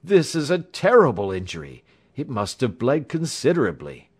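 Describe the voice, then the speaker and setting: A male reader acts a doctor in a classic tale, with a lowish voice and expressive swings. He is formal and firm. His manner is that of a concerned, authoritative professional. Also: measured pace, heavily acted. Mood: horrified.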